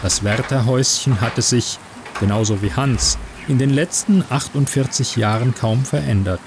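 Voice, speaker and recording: A man reading a literary short story in measured, scripted narration. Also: mid-range voice, noisy background. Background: music under the voice.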